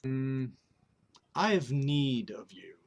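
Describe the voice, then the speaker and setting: Man playing a slow, menacing, courtly old man. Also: mid-range voice, big pitch swings, gravelly, exaggerated voice. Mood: calculating. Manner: formal, deliberate, theatrical. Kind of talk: dramatic script table read. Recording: noisy, slightly dull recording with clicks.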